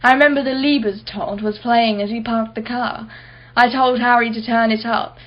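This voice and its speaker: Actress as high-voiced imperious wealthy woman, slightly muffled. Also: fairly even intonation, normal speed, close to an everyday voice. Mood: guarded, recounting. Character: imperious wealthy businesswoman, guarded and impatient, slowly reflective. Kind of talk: radio play acting.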